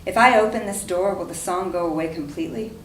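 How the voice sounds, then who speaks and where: A woman acting an expressive figure in a staged dramatic reading. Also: fairly low pitch, fast, close to an everyday voice. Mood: inquisitive. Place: live staged reading indoors. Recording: distant, echoey room, faint noise.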